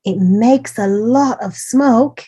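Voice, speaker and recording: Lady doing kids storytime, takes it slow, soft dark tone, encouraging, points stuff out as she reads. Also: fairly high pitch, slightly rough. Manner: encouraging, pointing out details to young listeners. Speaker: children's storytime host and reader. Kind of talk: picture book read-aloud with asides to children.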